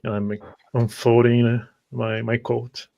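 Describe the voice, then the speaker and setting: A man narrating his character's actions haltingly as a tabletop game player. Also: mid-range voice, flat delivery, gravelly, a little mellow, muffled recording. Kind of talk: first-person roleplay narration.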